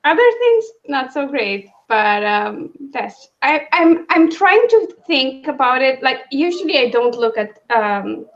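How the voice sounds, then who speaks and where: Higher-voiced woman chatting about books, lo-fi sound. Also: very animated, measured pace, hardly any pauses. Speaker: book discussion host. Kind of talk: casual livestream book discussion. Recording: dull, lo-fi sound.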